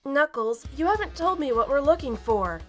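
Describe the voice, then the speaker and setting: A woman's voice: high-pitched, very animated, normal speed, soft background music. A woman playing a sassy, self-assured female bat treasure hunter in an audio drama, with a sceptical manner. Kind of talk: fan audio drama acting.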